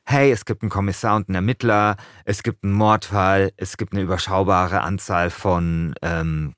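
A man's voice: low voice, steady tone, normal speed, a bit bright, dry recording, clean studio sound. A man speaking as a podcast co-host, moving between casual chat and scripted narration.

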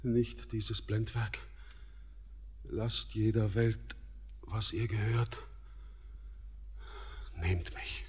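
A young miner caught in a feverish trance, his breathy, whispering voice drawn out slowly. The radio-play recording gives it a long hall reverb. Faint music sits underneath. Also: on the low side, darkish tone, full character voice. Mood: dazed. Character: young male miner lost in a feverish, entranced vision. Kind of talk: classic radio play acting.

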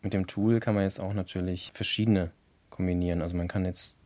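Fast-talking, lowish-voiced man demonstrating. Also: fairly even intonation, warm tone. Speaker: sound engineer demonstrating effects. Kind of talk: casual demonstration.

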